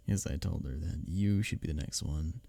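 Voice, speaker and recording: Casting: male actor as smitten workplace rival for intimate roleplay audio. Breathy, husky, dry close sound; teasing yet earnest. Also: rumbling low, no room sound, heavily acted. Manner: expressive, halting confessions with soft chuckles and sighs. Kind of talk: ASMR roleplay audio drama, spoken intimately to the listener.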